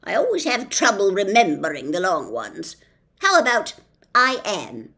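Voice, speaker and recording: A woman voicing a fierce, pompous little male policeman from a storybook, blustering and accusing in loud, emphatic tones. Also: medium pitch, huge pitch swings, a little slow, exaggerated voice. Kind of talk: storybook character voice.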